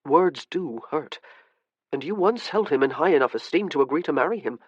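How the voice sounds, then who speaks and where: A man voicing a polite, restrained young gentleman who speaks formally and measuredly. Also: a little high, expressive, moderate pace, no echo, highs cut off.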